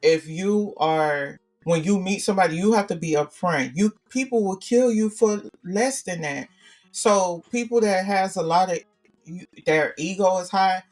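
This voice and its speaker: A woman with a naturally deep voice talks through a casual podcast chat as co-host, repeating herself to drive a point home. She sits a little far from the mic, and soft music plays underneath.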